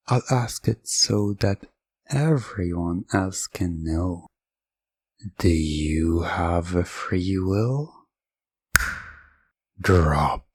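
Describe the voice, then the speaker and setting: Slow, deep, husky male hypnotist. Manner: slow, teasing, theatrical showman; soft, coaxing hypnotic induction. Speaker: hypnotist playing a game show host. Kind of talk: hypnosis ASMR roleplay.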